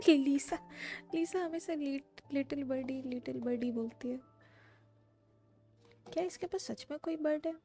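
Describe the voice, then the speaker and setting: High, mellow Indian woman streamer. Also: very fast, pauses often, soft background music. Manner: brief murmured reactions, switches between English and Hindi. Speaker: game streamer. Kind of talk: casual live gameplay reactions.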